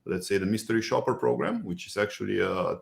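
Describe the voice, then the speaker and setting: Romanian-accented male guest, gravelly and lowish, jokey, hesitant delivery. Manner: joking, halting with many uh fillers. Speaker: podcast guest, agency co-founder. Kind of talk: casual interview.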